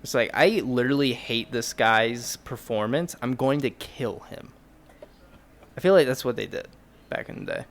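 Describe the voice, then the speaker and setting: Creaky-voiced man hosting a podcast. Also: mid-range voice, sing-song, moderate pace. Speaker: podcast host. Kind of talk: casual joking podcast chat.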